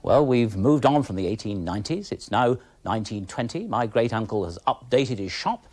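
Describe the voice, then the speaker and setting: A man's voice: on the low side, expressive, moderate pace, a little mellow. A man speaking as an expert presenter, giving enthusiastic, emphatic conversational commentary. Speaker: presenter, recorded music expert. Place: television studio.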